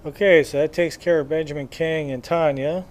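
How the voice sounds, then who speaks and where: A man's voice: on the high side, steady tone, moderate pace. A man giving casual gameplay commentary as he guides viewers through a video game.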